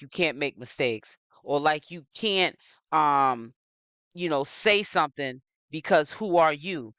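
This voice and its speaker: A man's voice: a little high, fairly bright tone, clear, resonant tone, no echo. A man hosting his own commentary channel, delivering an opinionated gossip monologue in a preachy, admonishing way, repeating phrases for emphasis. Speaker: social media commentator, channel host.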